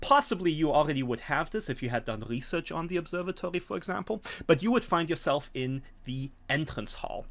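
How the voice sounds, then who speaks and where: A middle-aged man, explaining a tabletop scenario as game master in an animated way. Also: on the high side, brisk, few pauses. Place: small home room, on a video call.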